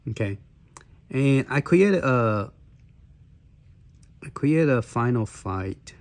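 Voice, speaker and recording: A man with a hoarse voice, walking through a gaming handheld's menus in a halting casual way, with many fillers and restarts. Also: medium pitch, expressive, a little mellow. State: hoarse, clearing his throat. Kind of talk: casual walkthrough demo.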